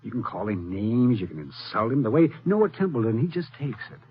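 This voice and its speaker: An American actor playing a chatty, gossipy small-town hotel clerk in an old radio western, folksy and eager to please, with a husky voice. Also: male voice, mid-range voice, very animated, fairly quick, close to an everyday voice. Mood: confiding, scornful. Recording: muffled old radio recording.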